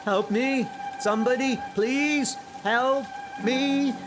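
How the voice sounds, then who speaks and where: A man's voice: extremely high pitch, slow, background noise, voice pushed up. A man voicing a terrified, pleading boy in a horror comic dub, hysterical with fear. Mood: panicked. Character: terrified boy fleeing a demonic horse.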